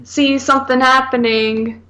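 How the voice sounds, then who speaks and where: A woman's voice: high-pitched, level tone, measured pace, pushed and bright. A woman co-hosting a film review podcast, chatting casually and argumentatively in blunt, slangy speech peppered with "like.". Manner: blunt, exasperated, slangy, repeatedly hedging with "like".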